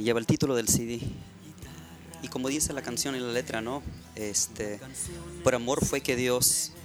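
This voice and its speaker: Earnest middle-aged Mexican man, soft music. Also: medium pitch. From Mexico, Baja California. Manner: earnest, heartfelt, devotional. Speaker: guest musician. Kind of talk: live radio interview.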